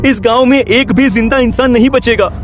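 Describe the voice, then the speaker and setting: A man's voice: very high voice, normal speed. A man playing an earnest, distressed young villager in a cartoon story, hesitantly repeating his words. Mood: grieving, determined. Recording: music under the voice.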